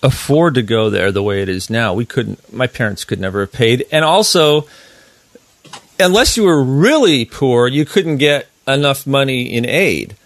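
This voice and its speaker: American male, middle-aged, mid-pitched, casual talk in a dry space. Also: lively intonation, moderate pace, dry recording. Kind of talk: casual conversation.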